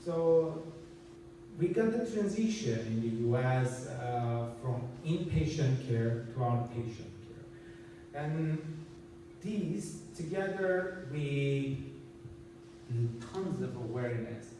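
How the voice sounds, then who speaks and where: A man's voice: medium pitch, expressive, takes it slowly, warm tone, a little hiss or hum. A man from Armenia, giving an earnest, explanatory conference talk with slides and many restarts. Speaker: conference speaker. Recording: echoey hall, distant mic.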